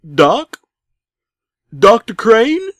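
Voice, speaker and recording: A man's voice: extremely high pitch, huge pitch swings, drawn-out words, dry recording, voice pushed up, exaggerated voice. A man voicing a guilt-ridden, fearful caller in a comic horror story, pleading and close to sobbing. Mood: anguished fear. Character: distraught, guilt-ridden male radio caller, fearful and sorrowful.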